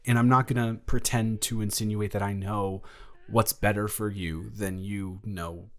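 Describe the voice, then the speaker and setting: A man playing an awkward, affectionate high school boy in a romantic audio roleplay, speaking in a rambling, stammering way. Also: fairly even intonation. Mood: insecure, earnest. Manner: halting, rambling, stammering, self-deprecating.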